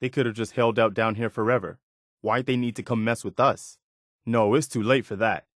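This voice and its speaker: An actor playing an earnest, dutiful, plainspoken militia lieutenant in a post-apocalyptic video game. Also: male voice, medium pitch, fairly even intonation, normal speed, no echo, studio quality, natural voice. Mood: steadfast. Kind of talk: video game character dialogue.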